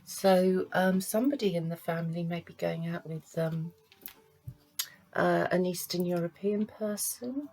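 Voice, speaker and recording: A woman working as a psychic medium, giving a card reading to camera in a halting, searching way. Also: fairly low pitch, warm tone, low-quality recording. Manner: halting, searching, pauses to sense impressions.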